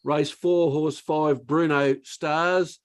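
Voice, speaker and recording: A man hosting a sports radio show, brisk as he wraps up and reads out tips. His voice sits fairly high. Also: fairly even intonation. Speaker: radio host. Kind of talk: radio show host wrap-up.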